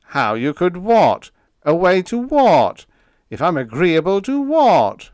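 A man's voice: mid-range voice, very animated, normal speed, clean tone, dry recording, voice pushed up, natural voice. A man voicing a small, smug, mocking enemy secret agent in a pompous, mock-polite and pettish manner for a pulp space story.

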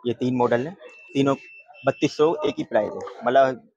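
A man's voice: mid-range voice, moderate pace, darkish tone, muffled recording. A man with a Karachi Urdu accent, a shopkeeper patiently explaining phones to a customer inside an electronics shop. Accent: Pakistani Urdu, Karachi. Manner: patient, reassuring, checks with "right?". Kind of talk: casual sales explanation.

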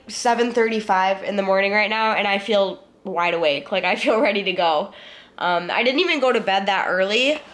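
A woman's voice: mid-range voice, fairly bright tone. A young woman with a head cold talks straight into a handheld camera held close to her face, her voice stuffy and nasal from congestion. She rambles in an easygoing, chatty way and swears casually, settled on a couch in her living room. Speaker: vlogger.

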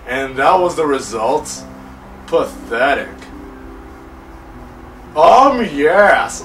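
A man's voice: a little high, a bit bright, distant mic, poor audio. Young man joking on a couch. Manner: jokey, profane, laughs often, reads lines aloud. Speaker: let's play co-host. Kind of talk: casual couch commentary reading game text. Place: living room, on a couch.

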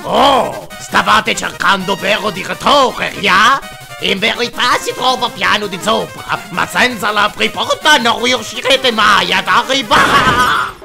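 A cranky cartoon rival acted out by a performer with a creaky, gravelly voice. The delivery is exaggerated and blustering, over clear background music. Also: mid-range voice, slow. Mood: shifty. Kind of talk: comic cartoon dub.

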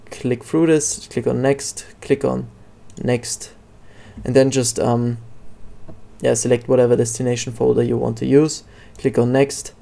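A man narrating a casual software tutorial in a hesitant, halting way. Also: medium pitch, creaky. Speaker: tutorial host.

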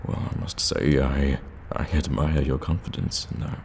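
Very deep, gravelly sly warlock, acted by a man. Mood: sly, bargaining. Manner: soft-spoken flattery, measured and teasing. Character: sly, courteous, teasing evil male warlock shopkeeper. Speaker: voice actor in an audio roleplay. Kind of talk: ASMR roleplay, intimate direct address to the listener. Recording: cavernous reverb, ambient background noise.

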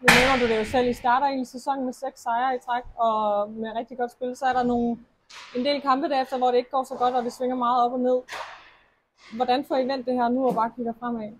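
A woman interviewing a player after a match for a club's TV channel, asking measured, deliberate questions. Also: on the high side, little pitch movement, a little hiss or hum, low-quality recording. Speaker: club TV interviewer.

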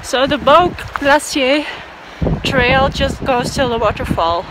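A woman's voice: high-pitched, takes it slowly, very noisy. Cheerful young woman vlogging on a trail. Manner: chatty, cheerful, laughs between remarks. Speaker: hiking vlogger. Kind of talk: casual vlog narration while hiking. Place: outdoors on a mountain trail. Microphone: handheld camera at arm's length.

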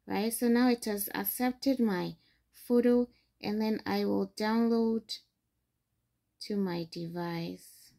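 Slow, patient woman explaining a phone tutorial. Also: mid-range voice, mellow. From Ghana. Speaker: tutorial host. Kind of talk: screen-recorded phone tutorial.

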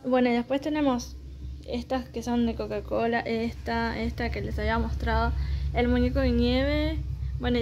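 A woman's voice: on the high side, a little mellow. Chatty young woman. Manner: chatty, meandering, many fillers. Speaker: vlogger. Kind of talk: casual home tour vlog. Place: small home living room. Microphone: handheld phone camera.

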